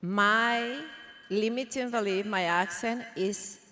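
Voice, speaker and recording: A woman with a Spanish accent, an attendee speaking on a seminar stage, exuberant and expressive. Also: mid-range voice, slow. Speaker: seminar attendee, real estate agent. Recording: long reverb of a big hall.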